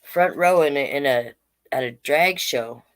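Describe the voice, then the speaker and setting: A woman hosting a children's storytime online, reading deliberately and adding casual, chatty asides. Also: extremely low pitch. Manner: slow, deliberate read-aloud delivery with chatty asides. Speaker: drag king storytime host.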